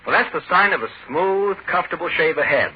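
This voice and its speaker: Man, 1940s commercial announcer, scripted read, raspy, somewhat high voice, dull recording. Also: expressive, brisk, a little hiss or hum. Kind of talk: scripted 1940s radio advertisement. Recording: muffled 1940s radio recording.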